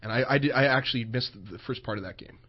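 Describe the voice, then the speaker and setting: A man co-hosting a sports radio show, joking around and giving animated hockey opinions. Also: medium pitch, quick pace. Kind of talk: casual sports radio talk. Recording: dull lo-fi broadcast with faint music.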